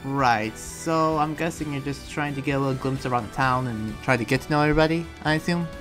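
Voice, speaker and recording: A man playing a talkative, awkward young demon slayer in an improvised Minecraft roleplay, rambling and halting as he goes. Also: medium pitch, close to an everyday voice. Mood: rambling, explaining. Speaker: roleplayer playing the lead character. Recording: music behind the voice.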